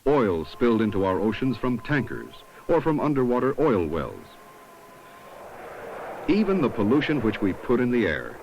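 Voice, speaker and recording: A man reading scripted 1970s documentary narration in a measured, instructive way, on an old film soundtrack. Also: mid-range voice, big pitch swings, a little mellow, lo-fi sound, slight background noise.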